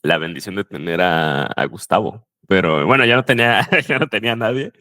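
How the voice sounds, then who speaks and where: A man who hosts a casual interview, relaxed and full of fillers and short replies. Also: low-pitched, a little fast, no room sound, clean studio sound. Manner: warm backchannels, relaxed filler-heavy talk. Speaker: host, language coach.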